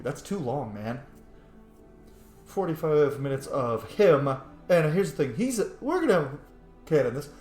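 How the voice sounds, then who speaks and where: A video game player with a mid-pitched voice, giving casual, silly, informal commentary while roleplaying. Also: roomy sound. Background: faint video game music.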